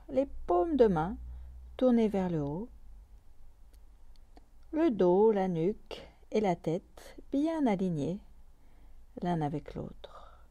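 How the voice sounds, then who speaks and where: A woman guiding a yoga nidra meditation calmly and softly, in short phrases with long pauses between them. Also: medium pitch, no echo. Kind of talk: slow guided meditation.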